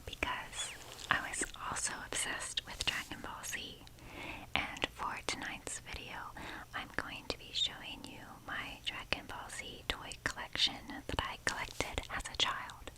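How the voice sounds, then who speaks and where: A young woman hosting an ASMR video in a breathy, unvoiced whisper, rambling casually with a self-deprecating manner. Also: measured pace, rough recording.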